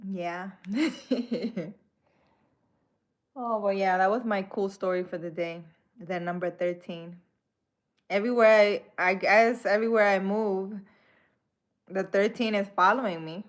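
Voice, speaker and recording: A woman's voice: medium pitch, fairly even intonation, a little slow, long pauses. A woman from the Republic, chatting casually with viewers on a live stream, laughing often and slipping in Spanish words. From Dominican Republic. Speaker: live-stream host, spiritual healer.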